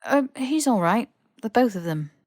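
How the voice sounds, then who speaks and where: A woman's voice: on the high side, lively intonation, normal speed, a little mellow, no echo, voice pushed up, natural voice. A woman acts out a young British man in a fantasy audiobook, hesitant and a little defensive. He means well, and the recording is dry. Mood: hesitant, explaining. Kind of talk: audiobook character dialogue.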